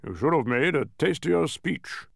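Pompous storybook monarch played by a man: imperious, irritable, deliberate, wide-ranging pitch. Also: expressive, creaky, no echo, clean studio sound, full character voice. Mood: gruff, dismissive. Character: pompous, irritable king with grand commanding airs.

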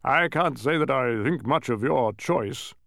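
A man's voice: mid-range voice, expressive, a little slow, creaky, a bit bright, dry recording, clean studio sound, pitched above the performer's own voice. A man voicing a pompous, irritable king in a storybook, imperious and emphatic. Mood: gruff, dismissive. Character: pompous, irritable king with grand commanding airs.

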